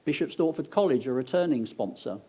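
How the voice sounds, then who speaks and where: English guy hosting an awards night on stage, mellow tone, cracks little jokes at the crowd. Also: medium pitch, animated, a little fast, husky. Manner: upbeat, joking asides to the audience. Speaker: awards host. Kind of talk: announcing at an awards ceremony. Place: event venue on stage.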